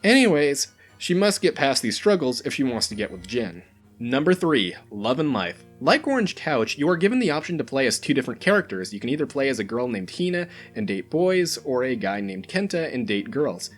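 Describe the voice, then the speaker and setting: A man's voice: medium pitch, big pitch swings, fairly quick, soft background music. A man reading a scripted top-list countdown as its host, in an informal way with dry, humorous asides. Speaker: host of a video game recommendation countdown.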